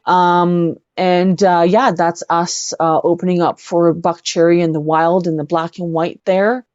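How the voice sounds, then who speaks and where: A Canadian woman talking casually as a guest in an earnest, halting way, often repeating words. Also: fairly low pitch, little pitch movement, a little mellow, dry recording, muffled recording. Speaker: guest, singer-songwriter. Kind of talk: casual live conversation.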